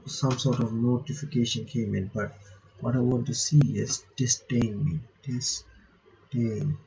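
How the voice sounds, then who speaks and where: A man's voice: medium pitch, vocal fry, dark tone. A man hosting a visual novel playthrough, giving informal commentary and reading the game's text aloud on a home microphone. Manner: informal, reacts aloud between read lines. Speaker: reaction channel host playing a visual novel. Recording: muffled lo-fi mic with faint noise.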